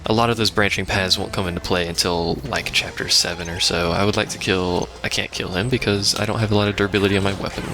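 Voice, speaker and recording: Man, low gravelly voice, casual gaming commentary, wry asides, music playing behind. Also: fairly even intonation, fast talker, few pauses. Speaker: let's play host. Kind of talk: casual live game commentary. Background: video game music.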